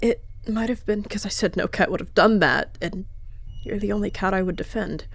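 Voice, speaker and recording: A woman voicing a secretive she-cat warrior who speaks in a tense, hushed, defensive way. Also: medium pitch, normal speed, vocal fry, voice pushed up. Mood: shaken.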